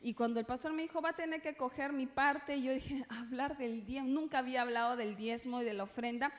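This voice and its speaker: A woman with a Peruvian Spanish accent and a high voice teaches a church class. She speaks informally, with playful asides and frequent little checking tags. Her voice rings through a hall with long reverb. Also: fairly quick. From Peru.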